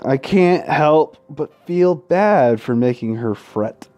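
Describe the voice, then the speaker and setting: A man's voice: a little high, lively intonation, slow pace, mellow. A man reading a visual novel aloud as a gaming host, tripping over words, correcting himself and dropping casual asides and swearing. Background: faint game music.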